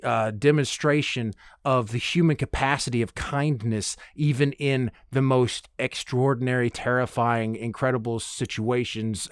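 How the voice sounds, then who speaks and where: A man hosting a solo podcast in a casual, rambling monologue, halting and digressive, often interrupting himself and restarting. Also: mid-range voice, no room sound, studio quality. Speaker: podcast host.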